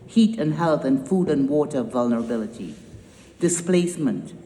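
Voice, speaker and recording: A woman's voice: very deep voice, expressive, moderate pace, mellow, a little hiss or hum. A woman from Trinidad with a Trinidadian accent, founder of a nonprofit giving an emphatic, rousing speech with wry humor. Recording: echoey hall with long reverb.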